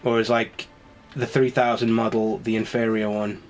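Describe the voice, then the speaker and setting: A man's voice: lowish voice, flat delivery, normal speed, faint music behind, rough recording. A man with a cold, sounding snuffly, giving rambling, wry commentary as he plays a video game. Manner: rambling and wry, with frequent laughs. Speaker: let's play host. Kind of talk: casual gameplay commentary.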